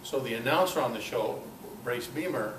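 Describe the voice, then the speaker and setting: An older man giving an informal, halting talk to a club, with a self-deprecating, dryly humorous manner. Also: mid-range voice, expressive. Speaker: guest speaker at a club meeting. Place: club meeting room, indoors. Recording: roomy, distant mic, noisy amateur recording.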